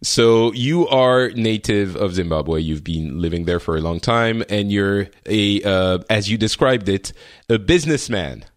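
Hesitant podcast host, low voice. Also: male voice, measured pace, dry recording, clean studio sound. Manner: hesitant, filler-heavy. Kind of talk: hosting a chat podcast.

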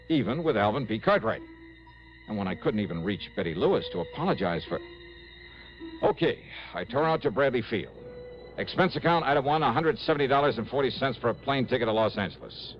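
An actor playing a wry, harried American insurance investigator with a colloquial, dramatic delivery in a 1960s radio detective drama. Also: male voice, mid-range voice, lively intonation, fairly quick, close to an everyday voice. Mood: confiding, wry. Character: brisk, harried, loyal male insurance investigator. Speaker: lead and narrator. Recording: muffled old radio, music underneath.

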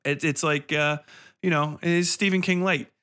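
A man's voice: on the high side, fairly even intonation, normal speed, bright, forceful tone. A man hosting a podcast gives a casual, profane film review in a sarcastic, irreverent, rambling way, leaning hard on phrases for emphasis.

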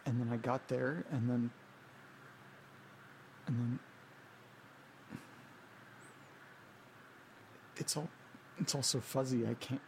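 An actor plays a troubled man in a horror audio drama, with an average-pitched voice. He speaks in halting, repeated fragments as he strains to think. Background noise sits clearly behind him. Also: steady tone. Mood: confused, straining. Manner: halting, repeating himself, muttering. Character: anxious man named Nate straining to recover lost memories. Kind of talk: naturalistic audio drama monologue.

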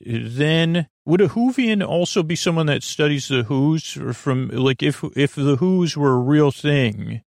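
A man hosting a sleep podcast in an unscripted, meandering monologue, halting, repeating himself and trailing off mid-thought. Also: on the high side, animated, creaky, a little mellow, no echo, studio quality. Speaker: podcast host.

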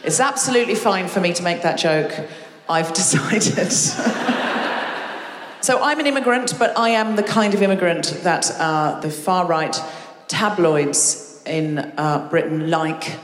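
A woman hosting a live comedy show on stage, teasing and chatting quickly with the audience. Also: lowish voice. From Australia. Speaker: comedy podcast host. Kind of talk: live stand-up crowd work. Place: large concert hall with live audience. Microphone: stage microphone. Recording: roomy hall with long reverb.

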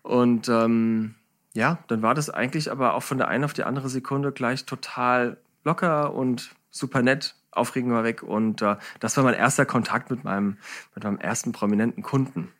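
A man's voice: medium pitch, steady tone, normal speed, a bit bright. A middle-aged man, a podcast guest, telling anecdotes in a casual, somewhat hesitant way. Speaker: podcast guest, celebrity hairstylist. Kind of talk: casual anecdotal interview chat.

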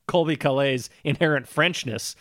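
A man's voice: fairly high pitch, moderate pace, a bit bright. A man co-hosting a comedy podcast, joking crudely and arguing in mock seriousness about song lyrics. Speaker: podcast co-host.

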